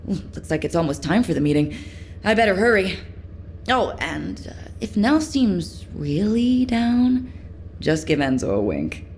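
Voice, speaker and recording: An actress playing a chatty, eager young military engineer in a game, informal, rambling and expressive. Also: female voice, mid-range voice, a little slow, a little hiss or hum. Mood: playful, secretive. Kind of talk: game character dialogue.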